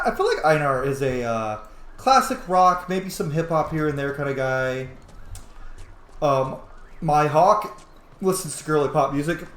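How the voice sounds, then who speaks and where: High-voiced, animated man in an echoey room. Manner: chatty, profane, exclamatory. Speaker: let's player narrating gameplay. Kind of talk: casual gameplay commentary.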